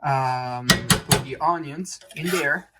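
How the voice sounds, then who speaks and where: A man's voice: mid-range voice, heavy background noise. A man with an Italian accent, co-hosting a casual cooking demonstration in a kitchen, often repeating words as he hunts for the right one. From Italy. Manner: halting, repeats words while searching for them. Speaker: cooking show co-host.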